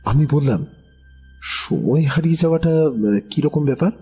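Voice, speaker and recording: An actor playing a watchful tantric priest, narrating a horror tale in a measured, brooding way with a husky voice. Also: male voice, on the high side, expressive, darkish tone. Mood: grave, foreboding. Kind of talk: dramatic first-person horror narration. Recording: background music under the voice.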